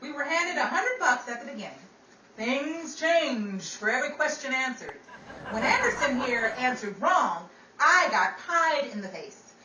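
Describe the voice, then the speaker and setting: A woman's voice: high voice, sing-song, measured pace, sharp tone, heavily acted. A woman playing a chatty, wisecracking 1930s radio gossip gal in an old-time radio drama, with theatrical vintage patter. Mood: exasperated, wisecracking. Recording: roomy, distant mic with faint noise.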